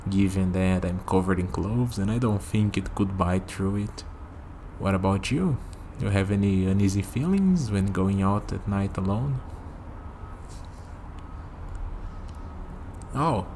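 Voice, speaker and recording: A man's voice: sits low, a little hiss or hum. A man acting a friendly, easygoing human on a night walk in an ASMR roleplay, musing conversationally to the listener. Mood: casual, musing.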